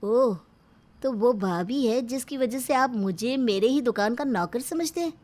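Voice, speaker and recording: A woman's voice: on the high side, lively intonation, unhurried, a little mellow. A woman voicing an aggrieved, resentful younger brother in a storybook drama, complaining and defiant. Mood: resentful, angry. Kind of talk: acted storybook dialogue.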